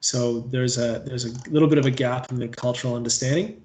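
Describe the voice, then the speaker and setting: Hesitant Canadian man, flat and echoey. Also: mid-range voice, brisk. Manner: hesitant, many fillers and restarts. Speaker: water safety trainer. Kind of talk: staff training webinar presentation.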